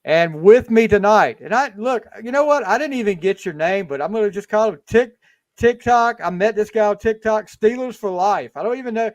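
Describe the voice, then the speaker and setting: A man's voice: sits high, expressive, normal speed, dry recording. A fifty-year-old man hosting a casual sports podcast over a video call, talking in a rambling way with lots of restarts. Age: 50s. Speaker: podcast host. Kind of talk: casual chat.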